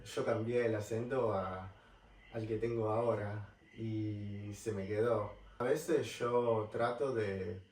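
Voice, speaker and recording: A young man from California speaking with an Argentine accent in a casual vlog, halting and searching for words, recorded in a rental apartment. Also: fairly low pitch, a little mellow, slight background noise. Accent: Argentine Spanish. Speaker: travel vlogger. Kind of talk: casual talk to camera. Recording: roomy, distant mic, faint ambience.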